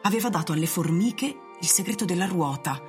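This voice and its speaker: A woman's voice: on the low side, expressive, fairly quick, a little mellow. A woman narrator reading scripted literary narration for an Italian radio drama. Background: music.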